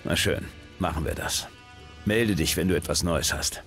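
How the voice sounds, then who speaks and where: A man voicing a gruff, laconic commander and steady hero in a sci-fi video game. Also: deep voice, normal speed, faint music behind. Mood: businesslike. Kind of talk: video game dialogue acting.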